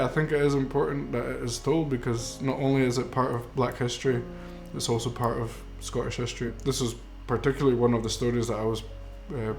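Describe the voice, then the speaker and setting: A young man in his twenties who grew up in Mexico, a filmmaker telling his grandfather's story in an earnest, reflective way. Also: mid-range voice. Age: 20s. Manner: earnest, reflective, with some fillers. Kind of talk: documentary narration. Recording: roomy with music underneath.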